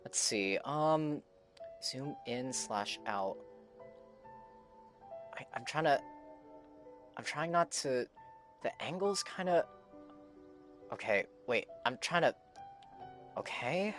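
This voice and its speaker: A male streamer with a very lively, mid-pitched voice, reacting casually to a game. He thinks aloud haltingly, with faint music behind him. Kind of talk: casual live stream commentary.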